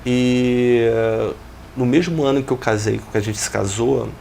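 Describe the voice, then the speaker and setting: Gravelly Brazilian man telling his story. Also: medium pitch, measured pace, a little hiss or hum. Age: 40s. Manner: reflective, steady storytelling with business jargon. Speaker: business owner guest.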